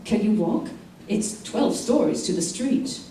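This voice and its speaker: A woman playing a cold, scheming, manipulative woman in a staged old-time radio drama, measured and insinuating in her delivery. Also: medium pitch, animated, dark tone, close to an everyday voice. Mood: feigned hesitation. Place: theater stage before a live audience. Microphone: stage mics, recorded by far camera. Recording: echoey stage hall, far mic, faint noise.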